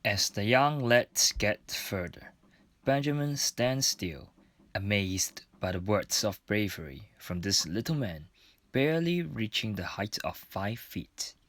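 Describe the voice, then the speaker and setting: A man reads scripted story narration for a radio drama. His voice is on the low side and recorded very dry, close and free of room sound. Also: animated, takes it slowly, a bit bright, no room sound.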